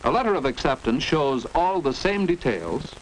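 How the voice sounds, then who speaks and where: A man's voice: fairly high pitch, sing-song, moderate pace. A man with an American accent narrating a 1940s instructional film in a precise, didactic manner. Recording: noisy 1940s educational film soundtrack.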